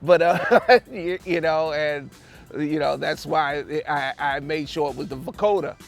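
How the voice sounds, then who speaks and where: A man musing casually in an interview, informal and slangy, in a halting way. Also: a little high, steady tone, creaky, soft background music.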